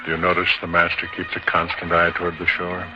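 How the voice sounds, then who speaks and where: A man's voice: sits low, completely flat delivery, fast talker, close to an everyday voice. A man playing a devoted, protective disciple in an old-time radio drama, speaking earnestly and declaiming his lines. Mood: grave, resolute. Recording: muffled, very noisy old radio recording.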